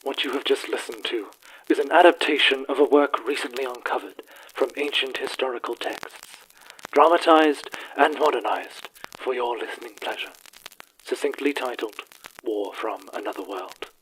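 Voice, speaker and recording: A performer playing an earnest radio news anchor in a radio play, with formal, measured, theatrical announcer phrasing. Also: mid-range voice, a bit bright, slight background noise, lightly acted, poor audio. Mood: calm, apologetic. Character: earnest troll radio news anchor hosting an evening broadcast.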